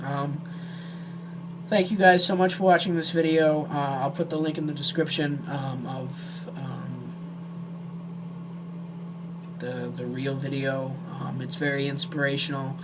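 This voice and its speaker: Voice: mid-range voice, fairly even intonation, unhurried, noisy background. An amateur video creator speaking directly to viewers in an earnest, emotional and hesitant way.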